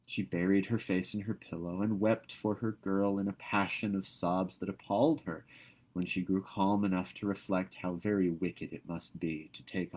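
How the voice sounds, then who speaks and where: A man with a low voice narrates a classic novel. He reads from the text in an expressive storybook style, as an audiobook reader does.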